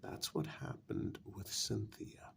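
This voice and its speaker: A man playing a calm, patient castle confidant in a soft-spoken ASMR fantasy role-play, measured and breathy with long pauses. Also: slight background noise. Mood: grave, explanatory. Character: calm, apologetic male confidant explaining a vampire curse in an old castle.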